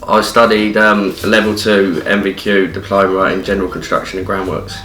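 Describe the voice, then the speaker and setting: A man's voice: low-pitched, level tone, normal speed, fairly bright tone. A young man with an English accent, a qualified apprentice who answers hesitantly with many fillers. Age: young adult. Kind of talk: casual interview answers. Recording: distant, roomy, with music.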